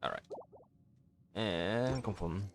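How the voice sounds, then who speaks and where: Low-voiced man streaming a video game. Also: lively intonation, slow pace, vocal fry, soft background music. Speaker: live-streaming gamer, host. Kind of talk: casual let's-play commentary.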